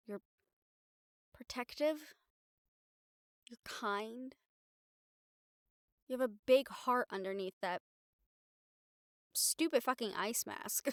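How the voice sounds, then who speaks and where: A woman's voice: a little high, no room sound, studio quality. A woman playing a wry, daring young reporter in an intimate audio roleplay, talking to her partner in a sardonic, sighing, casually sweary way. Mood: tender, encouraging. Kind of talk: intimate audio roleplay acting, conversational monologue to the listener.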